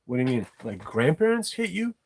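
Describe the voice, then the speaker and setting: A man's voice: mid-range voice, very animated, fairly quick, a little mellow. Rambling man chatting live. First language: not English. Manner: rambling, frank, swears casually. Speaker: livestream host. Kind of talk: unscripted live chat.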